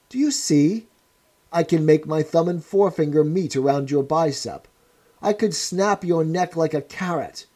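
A man voicing a cold, menacing male interrogator who speaks in a measured, commanding way. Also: on the high side, warm tone. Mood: contemptuous.

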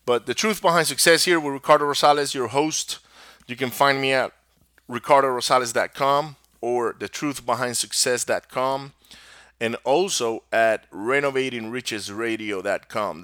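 A man's voice: mid-range voice, fairly bright tone, no room sound, studio quality. Rambling middle-aged Venezuelan-accented man host. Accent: Venezuelan Spanish. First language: Spanish. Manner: rambling, many restarts and fillers. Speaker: podcast host. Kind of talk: solo podcast monologue.

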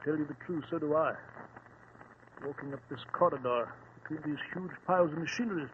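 An actor playing an earnest, aging sidekick to a detective in an old-time radio drama, blustery and hesitant. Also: male voice, a little high, brisk, close to an everyday voice. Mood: uneasy, subdued. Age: older. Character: earnest, fussy, aging male detective's companion. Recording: muffled, noisy old radio recording.

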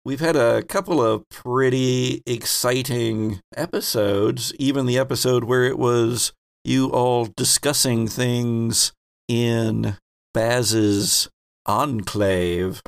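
A man's voice: medium pitch, fairly even intonation, slow, gravelly, smooth. A man hosting a tabletop game as game master in a casual, hesitant, playful way.

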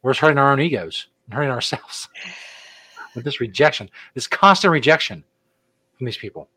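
A middle-aged man co-hosts a casual podcast in a relaxed, joking way, drifting from one announcement to the next. His voice sits at an ordinary pitch for a man. The sound is dry and close, with no room around it. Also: moderate pace, husky, no room sound, rough recording. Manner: laid-back, joking, rambling. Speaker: podcast co-host. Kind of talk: casual chat.

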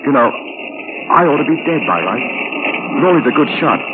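An actor playing a young army captain, a glib, self-blaming wayward son, with a clipped, flippant manner in a 1940s radio drama. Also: male voice, a little high, animated, moderate pace, narrow, tinny audio, lightly acted. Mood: rueful. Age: young adult. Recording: noisy old 1940s radio broadcast.

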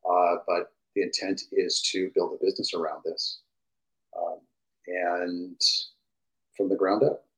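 A middle-aged man with a fairly deep voice, chatting enthusiastically as a podcast guest through a gaming headset, with fillers and restarts. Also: little pitch movement, measured pace, long pauses, rough recording. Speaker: guest, game industry executive. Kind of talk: casual podcast chat.

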